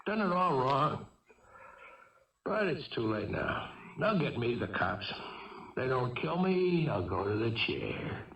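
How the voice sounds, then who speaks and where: An actor playing a wounded fugitive gunman in a 1970s radio drama, jittery, bitter and wistful, speaking in halting, agitated bursts. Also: mid-range voice, sing-song, vocal fry, fairly bright tone, slight background noise, heavily acted. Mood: rueful, weary. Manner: halting, agitated, repeating himself. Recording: dull, echoey vintage radio recording, distant mic.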